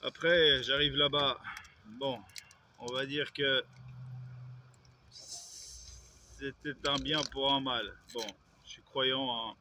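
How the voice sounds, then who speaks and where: A man's voice: medium pitch, steady tone, takes it slowly, pauses often, background noise. A man, vlogging in a rambling way, with hesitations and self-corrections. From Belgium. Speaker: YouTuber. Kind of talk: casual vlog monologue.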